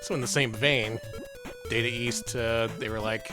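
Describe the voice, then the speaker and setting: A man's voice: mid-range voice, steady tone, moderate pace, music in the background. A man co-hosting a retro game stream, casual and sarcastic, laughing often and swearing. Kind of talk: casual gaming chat.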